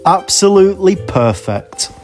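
A woman's voice: on the low side, big pitch swings, unhurried, darkish tone, faint music behind. A woman voicing a loving father mouse in a storybook, with emphatic, projected delivery. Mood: doting.

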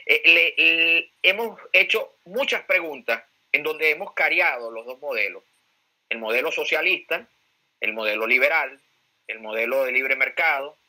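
A man's voice: fairly high pitch, normal speed, sharp tone, low-quality recording. A Venezuelan man giving political commentary as a pollster, emphatic, repeating key phrases and posing rhetorical questions. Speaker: pollster, guest speaker.

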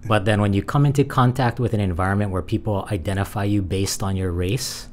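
A man in his forties from Hawaii speaks as a podcast guest in a small studio. He talks thoughtfully and at an even pace, his voice very low. A faint hiss sits under it. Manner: thoughtful, measured, gently laughing. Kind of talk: casual interview chat. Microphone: studio mic with headphones.

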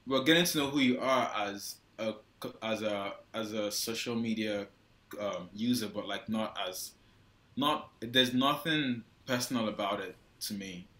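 A man's voice: fairly low pitch, measured pace, pushed and bright. A young man in his twenties with a Nigerian accent, giving loose, hesitant answers as an interview guest over an earphone mic on a video call. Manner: loose and hesitant, heavy use of "like" and restarts. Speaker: guest photographer. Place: small indoor room. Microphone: wired earphone mic on a video call. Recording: roomy echo.

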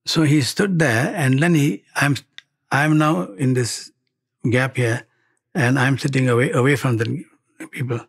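An older man with a Malaysian accent shares personal stories in a conversational way. His voice is husky and mid-pitched. He plays out bits of dialogue and often checks that the listener is following. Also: unhurried. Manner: animated retelling with quoted dialogue and tag questions. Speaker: devotee giving a spiritual testimony. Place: indoor studio set, seated before wood-panelled wall.

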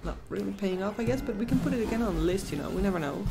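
A woman's voice: mid-range voice, expressive, very fast, gravelly, over music. A young Dutch woman with a Dutch accent, co-hosting a live casino stream, chatting with viewers and reacting to the game. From Netherlands. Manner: chatty, reads chat aloud, squeals at wins. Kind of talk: live stream chat and commentary.

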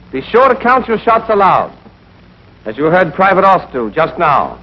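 A man with an American accent narrates a military training film as an instructor, speaking directly to trainees in a scripted, instructive way. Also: high-pitched. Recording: dull, band-limited old training film soundtrack.

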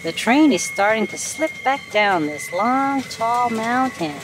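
A woman voicing an anxious flat train car, calling out urgent warnings in a children's storybook. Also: fairly high pitch, takes it slowly, background noise, pitched above the performer's own voice.

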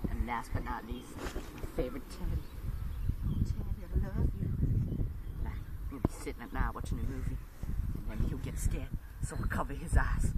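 A teenage boy doing a goofy mock survival tutorial outdoors in a park. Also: mid-range voice. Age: teens. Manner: goofy deadpan comic patter. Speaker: comedy video maker. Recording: distant camera mic, loud outdoor noise.

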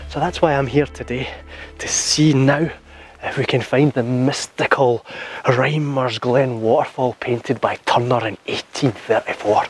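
A man, talking earnestly to a hand-held camera outdoors in the forest and getting loudly excited at discoveries. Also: mid-range voice, measured pace, a little hiss or hum. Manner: earnest, gets loudly excited on finding things. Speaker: vlogger exploring waterfalls. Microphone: camera held at arm's length.

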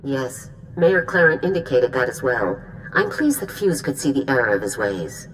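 A stern female general in a sci-fi game, with an extremely low voice that moves expressively. She is formal and composed, measured in every order, over a low rumble. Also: big pitch swings, rough recording. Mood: measured approval. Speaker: military general. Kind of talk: video game voice acting.

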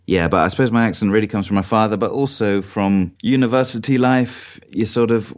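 Deep-voiced Englishman, measured and thoughtful. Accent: English, London-influenced. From Sweden and Cornwall, England. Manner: thoughtful, measured, with restarts and hedges. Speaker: podcast guest, philosopher. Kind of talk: casual conversation. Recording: dull lo-fi audio.

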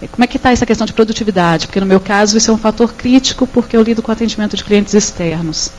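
A woman with a Brazilian Portuguese accent, asking a measured question from the audience of a small auditorium. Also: medium pitch, darkish tone, slight background noise, low-quality recording.

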